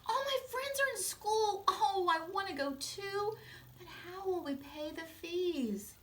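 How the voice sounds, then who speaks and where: Woman voicing an eager, high-pitched young boy. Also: lively intonation, slow pace, heavily acted. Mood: pleading, hopeful. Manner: pleading, wide-eyed. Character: eager young Kenyan boy longing for school.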